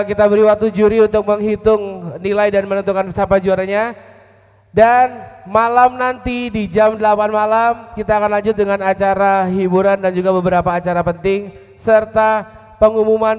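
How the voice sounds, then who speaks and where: Chatty guy mc with a highish voice, jokey, mic echoing out over a PA. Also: clear, resonant tone. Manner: chatty, joking, relaxed slang. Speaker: event emcee. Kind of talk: casual live-event hosting. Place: outdoor stage at an office yard event. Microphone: handheld mic through PA.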